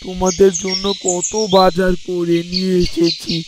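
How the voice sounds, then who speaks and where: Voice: medium pitch, monotone, measured pace, lightly acted. An actor playing a poor, pious fisherman and family man in a moral story drama, speaking humbly and devoutly. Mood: pleased. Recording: noisy outdoor recording with birdsong.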